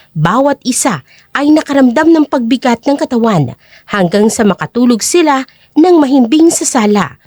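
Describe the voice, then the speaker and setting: A woman's voice: a little high, darkish tone, no room sound, studio quality. A woman narrating a scripted dramatic story as the narrator of an audio drama.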